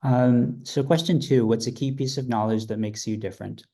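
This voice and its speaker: A man hosting a podcast, working through a numbered list of questions in a brisk, steady way. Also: medium pitch, vocal fry. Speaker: podcast host.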